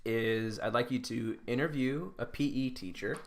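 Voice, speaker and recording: A man's voice: mid-range voice, normal speed, creaky. A man co-hosting a podcast in casual banter, easygoing and self-deprecating as he talks. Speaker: podcast co-host. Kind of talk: casual banter between friends.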